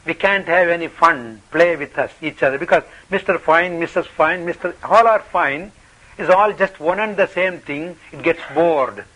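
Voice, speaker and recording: A man with a high, bright voice gives a spiritual talk in English. He speaks in short, playful phrases and often asks questions. He stops after each phrase so an interpreter can translate it. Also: measured pace, rough recording. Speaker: spiritual teacher.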